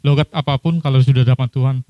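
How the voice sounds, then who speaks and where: A man with a Batak accent giving friendly, halting announcements at a church service. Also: mid-range voice, fairly even intonation, dull audio.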